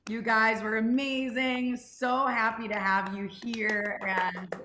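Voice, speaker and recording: A woman's voice: a little high, fairly even intonation, slow, noisy background. A young woman casually hosting a Q&A on a group video call. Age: young adult. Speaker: Q&A host of an audio drama club. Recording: roomy, echoing video call audio.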